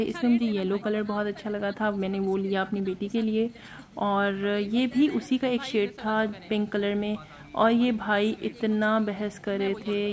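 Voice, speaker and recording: A woman's voice: medium pitch, darkish tone. Chatty woman vlogger with fillers. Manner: chatty, with frequent hesitation fillers and English phrases mixed in. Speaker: shopping vlogger. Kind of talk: casual shopping narration.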